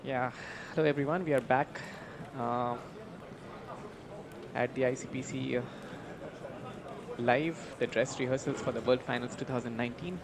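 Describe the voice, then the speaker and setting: A male host with a gravelly, middle-pitched voice leads a live interview at an event, filling his pauses with "uh" and encouraging the guest to keep talking. The crowd is clearly audible behind him outdoors. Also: steady tone. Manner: friendly prompting, many fillers. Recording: outdoor recording with crowd noise.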